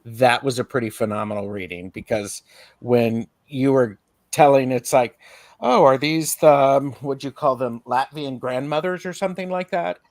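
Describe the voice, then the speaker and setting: A middle-aged man in easy conversation, spinning anecdotes and slipping into quick acted voices. His voice sits at an ordinary pitch, and the sound is dry and close, with no room around it. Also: a little mellow, no room sound. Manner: chatty, storytelling with acted asides. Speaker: co-host, tarot reader. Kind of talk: casual chat.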